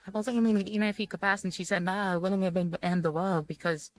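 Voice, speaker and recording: A woman giving let's-play commentary and reading game text aloud in a halting, rambling way. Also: medium pitch, steady tone. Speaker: streamer playing a visual novel. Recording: music playing behind the voice. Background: background music.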